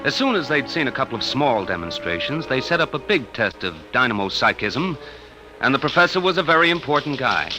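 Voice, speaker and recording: A man's voice: mid-range voice, big pitch swings, brisk, over music, lightly acted, poor audio. An actor playing a down-to-earth young American man, colloquial and wisecracking, in the manner of 1950s radio drama. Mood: brisk narrating. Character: slangy, down-to-earth young man, the professor's loyal confidant.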